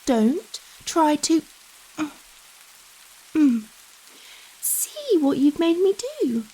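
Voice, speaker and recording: Woman as a giant vampire lady, high voice, unhurried, coy, gently dominant, possessive, ASMR roleplay, rain behind. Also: exaggerated voice, low-quality recording. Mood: menacing, restrained. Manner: slow, coy, coddling talk with pet names. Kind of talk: intimate ASMR roleplay acting. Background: steady rain.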